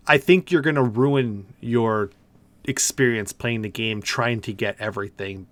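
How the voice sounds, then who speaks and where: A man's voice: fairly low pitch, fairly bright tone. A man co-hosting a gaming podcast, talking informally and enthusiastically in a casual discussion. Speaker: podcast co-host.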